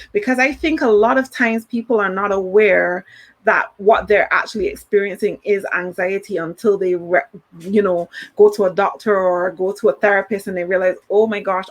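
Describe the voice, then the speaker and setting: A woman hosting a live podcast, talking straight to the audience in an upbeat way. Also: medium pitch. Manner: upbeat, addresses the audience directly. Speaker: podcast host.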